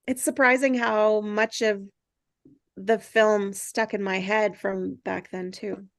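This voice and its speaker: A woman's voice: mid-range voice, flat delivery, takes it slowly, no room sound, clean studio sound. A woman talking casually and thoughtfully in a group discussion, as one of its hosts. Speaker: table read host who cast the reading.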